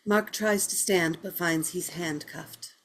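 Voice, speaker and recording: A woman's voice: on the low side, slow pace. A woman reading stage directions as scripted narration for a dramatic table read. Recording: music playing behind the voice.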